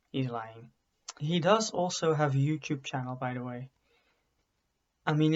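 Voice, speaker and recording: Young man, wry webcam vlog. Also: mid-range voice. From Belgium. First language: Dutch. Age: young adult. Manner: dry, self-deprecating humor. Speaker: vlogger. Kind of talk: casual self-introduction to camera. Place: bedroom study with bookshelves, indoors. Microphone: webcam at desk. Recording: dull lo-fi webcam audio.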